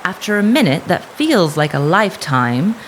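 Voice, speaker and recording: A woman narrating a scripted dramatic story in a measured, suspenseful manner. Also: fairly low pitch, very animated, a little hiss or hum.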